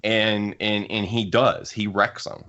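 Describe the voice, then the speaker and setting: A man with a fairly low voice hosts a casual discussion. He speaks emphatically and with firm opinions. The recording is muffled and lo-fi. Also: flat delivery, takes it slowly, pushed and bright. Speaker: podcast host. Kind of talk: casual panel discussion of books. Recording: muffled lo-fi audio.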